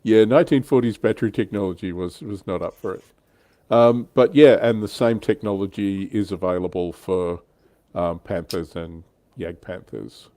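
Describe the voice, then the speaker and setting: A man's voice: sits low, moderate pace, mellow, no echo. A man hosting a livestream, explaining history in an informal, enthusiastic, chatty way. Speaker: livestream host. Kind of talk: casual explanatory history chat.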